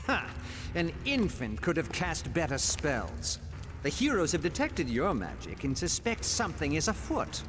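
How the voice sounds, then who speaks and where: A man's voice: on the high side, huge pitch swings, unhurried, long reverb tail, background noise. An actor playing a sly, scornful male trickster god with a mocking delivery. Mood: sneering. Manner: mocking, sardonic.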